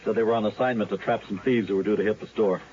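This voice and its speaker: An actor playing a matter-of-fact police officer briefing a colleague on a robbery in an old radio drama. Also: male voice, on the low side, fairly even intonation, a little mellow, close to an everyday voice. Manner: brisk, briefing. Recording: muffled old radio broadcast.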